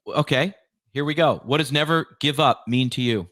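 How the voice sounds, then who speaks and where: A man hosting a podcast, presenting in clear phrases with an affirming manner. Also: mid-range voice, a little fast, a bit bright, dry recording, studio quality. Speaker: podcast host. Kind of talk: podcast interviewing and scripted outro.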